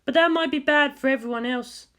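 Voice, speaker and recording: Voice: high-pitched, brisk, bright, forceful tone, pitched above the performer's own voice. A narrator voicing a cool, clinical female scientist with a dry, matter-of-fact manner. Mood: cool, measured.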